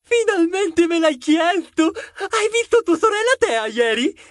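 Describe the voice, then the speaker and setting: A cheeky little male mouse detective, acted by a woman, pitched very high and recorded dry and close. He is bossy and teasing, forever exclaiming and scolding his friend in a children's audio story. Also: no echo. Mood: eager, lovestruck.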